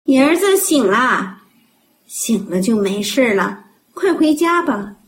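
一位女演员在广播剧里饰演一位干练、安抚人的医院女医生。. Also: female voice, a little high, big pitch swings, slow. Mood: brisk. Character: brisk reassuring woman hospital doctor. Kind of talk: audio drama acting.

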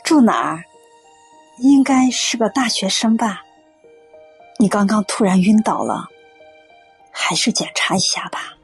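一位女演员在广播剧里饰演和善、沉稳、宽容的中年阿姨，声音带着气声。. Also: female voice, medium pitch, sing-song, unhurried, vocal fry, long pauses, breathy edge, faint music behind, heavily acted. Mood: calm, caring. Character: kindly, calm, forgiving middle-aged auntie. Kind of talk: audio drama acting.

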